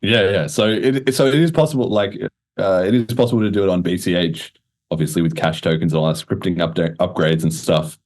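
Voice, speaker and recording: A man's voice: low-pitched, fairly quick, a little mellow. A man hosting a podcast, explaining things in a casual, informal way with the odd swear word. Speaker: podcast host.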